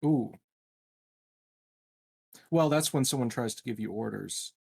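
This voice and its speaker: A man with a husky, creaky voice of middling pitch chats casually as a tabletop game player. The recording is very dry. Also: unhurried, a little mellow, no room sound.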